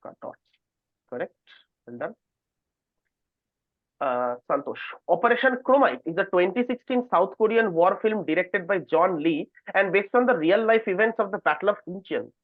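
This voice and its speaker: Higher-voiced male quiz host, phone-like sound. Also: expressive, normal speed, dry recording. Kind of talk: reading quiz questions, moderating contestants. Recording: narrow phone-like online call audio.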